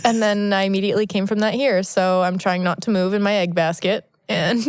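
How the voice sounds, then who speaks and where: A woman's voice: medium pitch, brisk, smooth, no echo, faint music behind. A woman co-hosting a podcast in casual chat, a bit sniffly from allergies, telling stories animatedly with lots of "like". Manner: chatty, animated storytelling with lots of "like". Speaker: podcast co-host. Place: small podcast booth in a new office. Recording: dry, sound-dampened booth.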